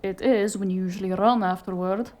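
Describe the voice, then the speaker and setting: A woman playing a sharp, street-savvy, exasperated fixer in a cyberpunk noir audio drama, sardonic and emphatic. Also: mid-range voice, fairly even intonation, fairly quick, lightly acted. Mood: incredulous, exasperated.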